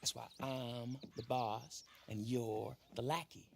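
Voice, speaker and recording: A man's voice: medium pitch, little pitch movement, takes it slowly, vocal fry, a little hiss or hum. An actor playing a brash, boastful fifteen-year-old boy gang boss in a video game. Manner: brash, bragging, bossy. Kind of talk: video game character acting.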